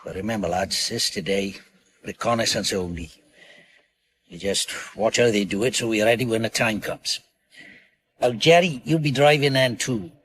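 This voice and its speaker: An actor playing a bossy, edgy British crime crew leader in a radio drama, giving clipped, commanding instructions. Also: male voice, on the low side, moderate pace, fairly bright tone, a little hiss or hum, natural voice. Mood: brisk, instructing.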